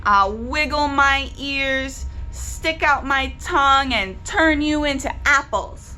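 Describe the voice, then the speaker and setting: Woman performing mean female teacher, storybook villain, high sharp voice, stern, threatening, deliberate. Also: full character voice. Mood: menacing warning. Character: mean, menacing woman schoolteacher who threatens children. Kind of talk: children's storybook read-aloud character.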